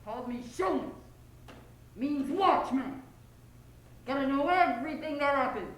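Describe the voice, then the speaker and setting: A haunted night watchman of the piers played by a young woman on stage intones Old Testament warnings like a preacher. The voice is high and unhurried. It rings through a very echoey theatre space. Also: animated. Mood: intense, rambling. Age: teenager. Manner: incantatory, preaching in archaic biblical phrases. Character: haunted, scripture-quoting male pier watchman, Willie. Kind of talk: high school stage play acting. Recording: echoey stage hall recording.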